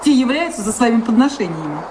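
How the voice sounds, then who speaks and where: Актриса играет в радиоспектакле острую на язык, недоверчивую простую трактирщицу, которая говорит выразительно и с подначкой. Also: female voice, on the high side, fast. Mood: scornful, amused. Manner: teasing, wry, expressive. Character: sharp-tongued, skeptical, earthy tavern hostess. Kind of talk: radio play acting. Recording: very noisy, hissy radio play recording.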